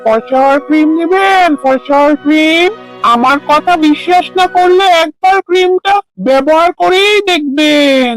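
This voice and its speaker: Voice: medium pitch, moderate pace, lightly acted, low-quality recording. A pushy travelling salesman from a village cartoon as a voice performer plays him. He talks in persuasive, sing-song patter, with faint music behind him. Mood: loud hawking. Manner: sing-song street-vendor calls, persuasive. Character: pushy, smooth-talking travelling cream hawker, a man. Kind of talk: cartoon dialogue.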